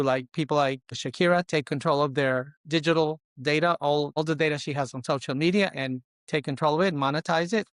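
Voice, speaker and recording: A man in his sixties from Colombia, answering interview questions in deliberate short phrases that often list things. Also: on the high side, clean tone, no echo, clean studio sound. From born in Colombia, immigrated as a small boy. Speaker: podcast guest, luxury consultant and investor.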